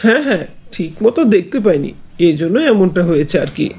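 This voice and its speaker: Woman playing a husky, animated cartoon village man. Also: on the low side, a little fast, heavily acted. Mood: brusque, irritated. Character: ordinary village men: teasing husband, brusque neighbours. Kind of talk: Bengali cartoon dubbing.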